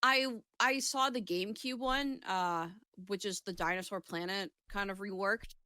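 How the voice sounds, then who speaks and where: A woman chats casually about games as a show co-host, at an ordinary middle pitch. She rambles, swears freely and cuts into her own sentences, and the sound is close and dry with little room echo. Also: normal speed, bright, forceful tone. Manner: rambling, self-interrupting, sweary. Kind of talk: casual gaming chat.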